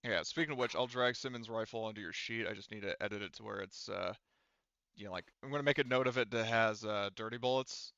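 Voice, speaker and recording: A man running a tabletop roleplaying game as game master, explaining things casually and haltingly with frequent restarts. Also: lowish voice, gravelly, bright, forceful tone, no room sound, muffled recording.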